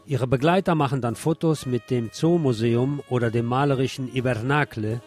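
A man's voice: medium pitch, normal speed, darkish tone. A man hosting a radio show, reading a scripted literary essay in short clipped phrases with a sardonic, theatrical delivery. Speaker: radio host. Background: music.

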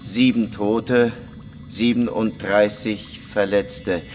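A man playing a simple village prisoner confessing in a deadpan, halting, matter-of-fact way in a radio crime drama. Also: fairly low pitch. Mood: flat confession. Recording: reverberant cell, music behind.

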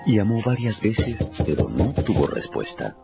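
A man's voice: lowish voice, big pitch swings, measured pace, vocal fry. A man narrating a radio novel in a dramatic, scripted storytelling style. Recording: muffled radio production with music underneath.

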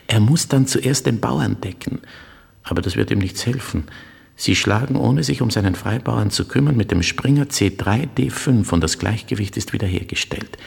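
A man's voice: fairly low pitch, normal speed, creaky, slightly rough, long reverb tail, exaggerated voice. A man playing a courteous, agitated middle-aged stranger in a radio drama, speaking with urgent precision. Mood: excited, urgent. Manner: urgent, precise, formally polite. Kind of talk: literary radio drama acting.

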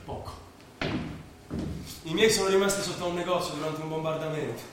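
Actor as blunt young labourer, very echoey. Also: male voice, on the high side, steady tone, normal speed, close to an everyday voice. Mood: blunt, resigned. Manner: terse, blunt. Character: rough, jobless young ex-marine labourer, plain-spoken. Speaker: stage actor. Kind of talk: theatrical stage dialogue. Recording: distant, echoey stage recording, faint noise.

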